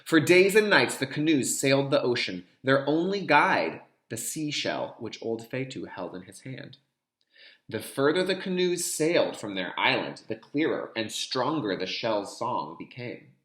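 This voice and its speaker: Animated male teacher in an echoey room. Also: mid-range voice, unhurried. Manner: expressive, dramatic delivery, explains words to young listeners. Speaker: kindergarten teacher reading aloud. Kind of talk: read-aloud storytelling with teaching asides.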